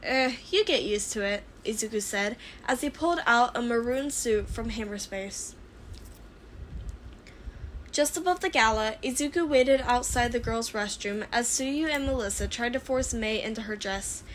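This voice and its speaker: High-pitched woman narrating fan fiction. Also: normal speed, low-quality recording. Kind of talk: expressive story narration. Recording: clear background noise.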